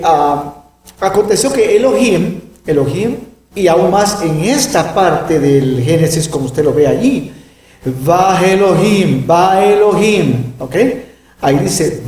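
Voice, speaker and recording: A middle-aged man with a mellow, slightly higher voice teaches a Bible lesson from some distance off the mic. He speaks emphatically and keeps checking in with his listeners. The room sounds echoey. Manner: emphatic, peppers speech with "¿verdad?". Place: room with closed blinds.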